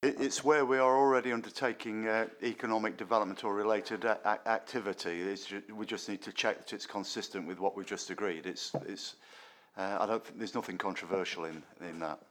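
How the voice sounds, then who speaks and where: A man at a committee meeting who talks in a halting way and stumbles over his words. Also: fairly low pitch, steady tone, fairly bright tone.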